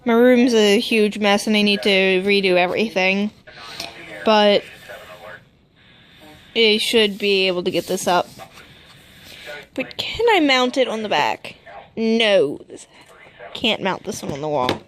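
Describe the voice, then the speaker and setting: A woman's voice: medium pitch, slow, long pauses. A woman casually narrating an unboxing as a vlogger, informal, with muttered asides to herself. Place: indoors at home.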